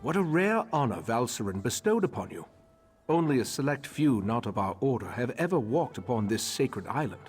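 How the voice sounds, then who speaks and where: An actor playing a grave, courteous leader of a mystic order in a fantasy video game, speaking formally and with measured dignity. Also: male voice, mid-range voice, very animated, a little mellow, husky. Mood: gracious, solemn. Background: background music.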